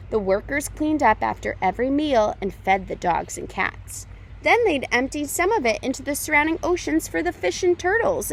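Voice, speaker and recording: A woman reading a children's picture book aloud as a storytime reader, with expressive, deliberate phrasing for young listeners. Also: high-pitched, creaky, a little hiss or hum, low-quality recording.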